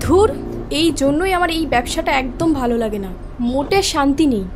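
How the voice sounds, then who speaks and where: A woman playing a sharp-tongued, bossy, suspicious wife in an animated story, insistent and sarcastic. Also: high-pitched, brisk, background noise. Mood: annoyed.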